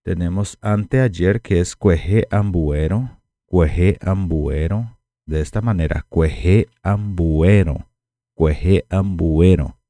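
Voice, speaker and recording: Low gravelly man teaching slowly. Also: dark tone, no echo. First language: Guarani. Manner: slow, repeats words for learners to copy. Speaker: language teacher. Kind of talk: language lesson.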